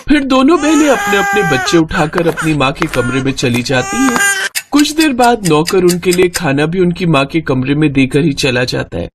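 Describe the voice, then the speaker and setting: A woman reading a scripted moral story as an expressive narrator. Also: low voice, normal speed, background noise.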